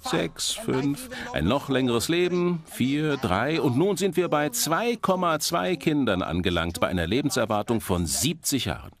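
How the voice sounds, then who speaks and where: A man presenting a documentary lecture in an emphatic, explanatory manner with dramatic pauses. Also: mid-range voice, animated. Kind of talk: animated documentary lecture to an audience.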